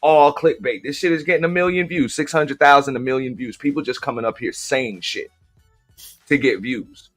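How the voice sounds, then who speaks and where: A man's voice: mid-range voice, moderate pace, fairly bright tone, faint music behind. A man hosting a casual live-stream talk show, speaking bluntly with a lot of profanity. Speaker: host.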